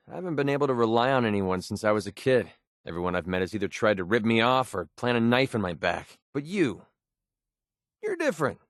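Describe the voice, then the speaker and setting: A man's voice: mid-range voice, no room sound, clean studio sound, close to an everyday voice. A male actor playing a cocky, guarded young mercenary sharpshooter in a video game, with casual, wry, slangy delivery. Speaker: voice actor for a game companion character. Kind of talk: video game voice lines, combat barks and confessional dialogue.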